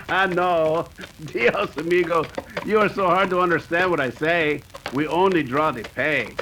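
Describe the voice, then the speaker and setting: Scheming Mexican bandit, noisy crackly recording. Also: male voice, on the high side, unhurried. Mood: exasperated, scheming. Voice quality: broken English with Spanish words. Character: cunning, boastful male Mexican bandit leader. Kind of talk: old-time radio western acting.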